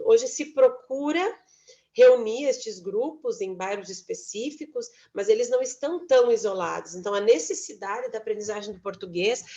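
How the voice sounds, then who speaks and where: A woman lecturer giving an academic presentation, measured, with many tag questions and self-corrections, heard over a remote call. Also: mid-range voice, darkish tone. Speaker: university lecturer presenting research. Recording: dull lo-fi online talk audio.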